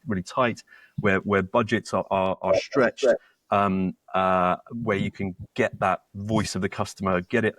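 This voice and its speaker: A British man speaks as a guest in a casual business interview. His voice sits low, and the sound is dry and close with no room echo. He talks haltingly, repeating words and restarting often. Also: a bit bright. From Britain. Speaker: co-founder and guest.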